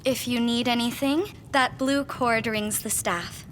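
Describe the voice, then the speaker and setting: An actress playing a deferential young apprentice in a gothic period audio drama, polite and careful with formal phrasing. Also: female voice, on the high side, steady tone, measured pace, background noise, close to an everyday voice. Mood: careful, uneasy.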